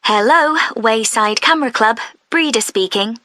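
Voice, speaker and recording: A woman playing a friendly, efficient club receptionist, asking clear, helpful questions in a scripted listening-test dialogue. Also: on the high side, unhurried, sharp tone, dry recording. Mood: friendly, businesslike.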